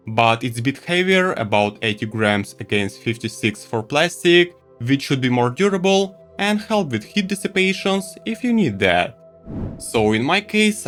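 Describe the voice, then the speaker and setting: Animated man reviewing gadgets over faint music. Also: a little high. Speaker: tech reviewer. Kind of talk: scripted product review narration.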